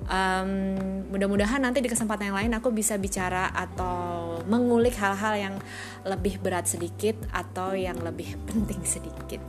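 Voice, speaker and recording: Music plays clearly behind a young woman. Her voice sits at a medium pitch, and she talks in a chatty, informal way, like a new podcaster addressing friends. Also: fairly even intonation, moderate pace. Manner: chatty, informal, often chuckling. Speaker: first-time podcast host. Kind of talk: casual solo podcast chat.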